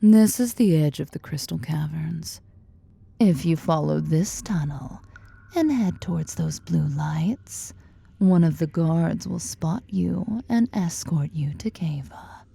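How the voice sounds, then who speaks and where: A woman plays an imperious spider-woman of fantasy audio roleplay, very dark and velvety in tone. She speaks low and unhurried, with a husky breath, teasing as she gives commands. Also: expressive, full character voice. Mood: proud, commanding. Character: powerful, imperious, flirtatious female drider, half-spider monster. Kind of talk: ASMR fantasy roleplay acting.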